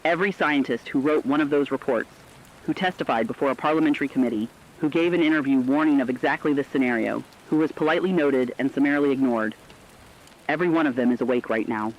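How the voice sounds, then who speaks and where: A man's voice: mid-range voice, close to an everyday voice. Sombre man as a radio correspondent, muffled sound. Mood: sombre, earnest. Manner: deliberate, earnest, sombre. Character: earnest, sombre male radio correspondent covering a global disaster. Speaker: radio broadcast commentator. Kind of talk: scripted reflective radio commentary. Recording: dull lo-fi radio-style sound.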